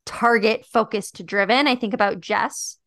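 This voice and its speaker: Podcast co-host, young woman, high-pitched voice, reflective casual delivery, dry close sound. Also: steady tone, unhurried, fairly bright tone, no room sound. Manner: thoughtful, reflective, many "like" and "um" fillers. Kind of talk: casual chat about a dating show.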